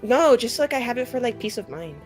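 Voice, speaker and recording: Woman playing a blunt, nosy, excitable flirt, lively voice. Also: fairly high pitch, brisk, over music, pitched above the performer's own voice. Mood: prying, teasing. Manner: rambling, slangy, filler-heavy teasing with dramatic gasps. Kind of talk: improvised tabletop roleplay dialogue.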